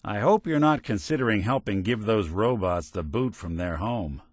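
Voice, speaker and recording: World-weary android private eye, hardboiled, kindhearted, low-pitched, expressive intonation, video game. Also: male voice, moderate pace, dry recording, studio quality. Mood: measured. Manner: hardboiled, wry, old-fashioned slang. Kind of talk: video game voice acting, noir detective.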